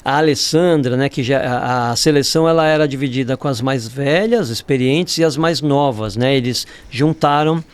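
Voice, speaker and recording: A man's voice: medium pitch, normal speed, few pauses, clear, resonant tone. A Brazilian man telling stories casually as a podcast guest, informal and often ending phrases with tag questions. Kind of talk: casual conversational storytelling.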